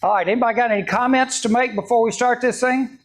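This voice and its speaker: A man's voice: very high-pitched, fairly even intonation, quick pace. A man speaking casually to a men's meeting, teasing the group and asking them questions before starting a video. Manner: chatty, teasing, asks the room questions. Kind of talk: casual joking talk to a live group.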